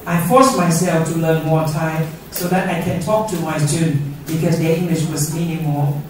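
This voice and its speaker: A middle-aged man, a native Vietnamese speaker, addresses a conference audience in an earnest way and often checks in with "yeah?". His voice sits a little high for a man. He is heard from a distance in a very echoey auditorium, with faint noise underneath. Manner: earnest, asks the audience questions, frequent "yeah?" tags. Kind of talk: keynote talk to an audience. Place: university auditorium. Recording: roomy auditorium sound from far off.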